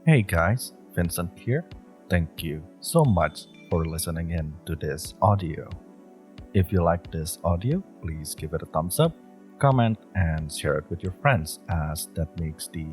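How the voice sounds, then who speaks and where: A man's voice: low voice, expressive, measured pace, a little mellow, soft background music. A man, the creator of an audio roleplay channel, thanking listeners in a friendly outro. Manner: friendly, direct address to listeners.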